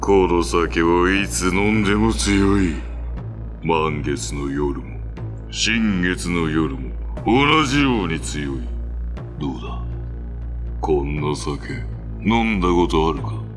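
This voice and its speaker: An actor playing an imposing, menacing red demon in a radio drama, with a heavy voice and an imperious, brooding manner. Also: male voice, animated, slow, faint music behind. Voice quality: deep, heavy voice. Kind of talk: radio drama acting of a ghost tale.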